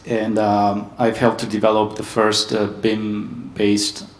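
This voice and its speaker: Low flat-voiced man presenting at a conference. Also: slow pace, reverberant, poor audio. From Hungary. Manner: steady, hesitant with many ums. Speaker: panel speaker.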